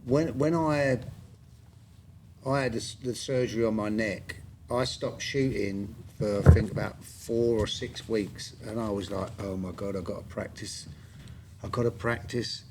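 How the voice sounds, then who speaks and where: A man's voice: fairly low pitch, a little slow, noisy background. A man in his sixties hosting a podcast, chatting casually with friends and often stammering into his sentences. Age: 60s. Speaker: podcast host. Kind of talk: casual chat.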